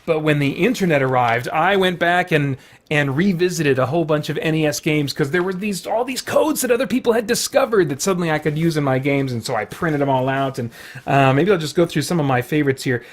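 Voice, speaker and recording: Higher-pitched man rambling casually. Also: expressive, fairly quick. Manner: chatty, meandering, self-interrupting asides. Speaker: video host showing a collection. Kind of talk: casual rambling vlog chat.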